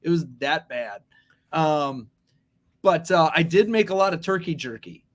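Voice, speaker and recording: A man's voice: a little high, animated, unhurried. An American man co-hosting a morning show, chatting casually in an easygoing, good-humored way. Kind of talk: casual chat.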